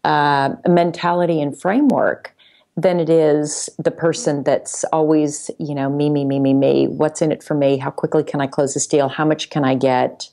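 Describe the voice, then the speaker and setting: Low-voiced woman in casual conversation. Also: fairly even intonation, moderate pace, mellow. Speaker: regular podcast guest.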